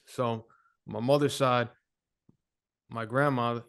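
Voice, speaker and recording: Relaxed male guest, candid storyteller, smooth voice. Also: medium pitch, slow. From United States. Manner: laid-back, candid, even delivery. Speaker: guest musician. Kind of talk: casual podcast interview.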